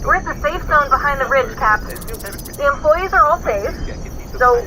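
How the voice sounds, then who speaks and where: A woman's voice: high voice, expressive, a little slow, background noise. A woman playing a perky, emotional young crew member in a sci-fi comedy audio drama. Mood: brisk, amused.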